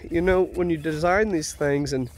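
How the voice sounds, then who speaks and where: A man's voice: on the high side, moderate pace, slight background noise, low-quality recording. Homeowner vlogger, male, stuffy cold, easygoing rambling tour, outdoors with faint country ambience. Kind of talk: casual site walkthrough. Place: outdoors at a house build site.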